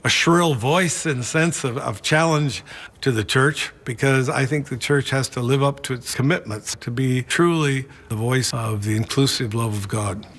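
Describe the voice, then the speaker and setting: A man's voice: mid-range voice, moderate pace, a bit bright, slightly rough. A man giving an earnest documentary interview, repeating words as he speaks. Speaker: church advocate.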